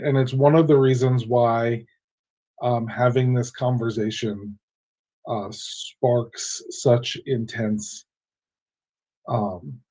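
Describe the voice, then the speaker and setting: A man speaking as a panelist in a measured, gentle way with some fillers. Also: mid-range voice, low-quality recording. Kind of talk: panel discussion.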